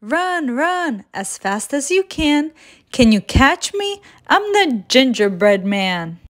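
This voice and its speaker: A woman's voice: high-pitched, sing-song, slow pace, a bit bright, exaggerated voice. A woman voicing a cheeky, boastful little gingerbread man in a children's storybook, playful and taunting in sing-song rhyme. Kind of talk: children's storybook read-aloud.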